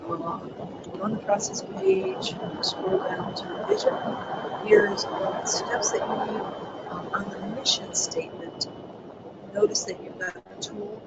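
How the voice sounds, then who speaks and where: A presenter running an online training session in a soft voice that doesn't carry far. The pitch rises and falls across a very wide range, and the talk stops often for ums. Heavy background noise sits under the computer-mic recording. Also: huge pitch swings. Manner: soft voice that does not carry, halting with many ums. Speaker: webinar presenter.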